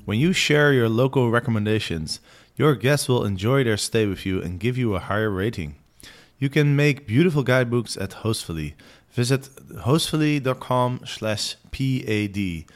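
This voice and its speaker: A man reading a sponsor message for a podcast in a clear scripted way. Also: medium pitch, expressive, normal speed. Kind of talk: ad read, then show intro.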